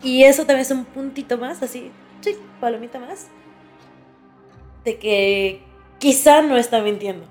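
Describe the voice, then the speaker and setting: A woman's voice: a little high, measured pace, long pauses, darkish tone, faint music behind. A woman with a Mexican accent chatting casually and informally as a podcast co-host. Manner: informal, conversational interjections. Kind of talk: casual chat.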